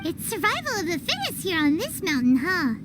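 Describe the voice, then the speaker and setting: A woman voicing a tiny childlike fairy companion in an anime-style game, squeaky, cheeky and exclamatory. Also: wildly swooping pitch, fairly quick, background noise, full character voice. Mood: suspicious, scornful. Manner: exclamatory, cutely indignant, refers to self in third person.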